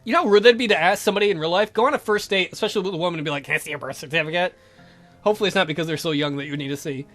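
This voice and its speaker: A man's voice: high voice, racing, few pauses, a bit bright, soft background music. A man reading a visual novel aloud as a let's play, breaking off into crude, irreverent joking asides.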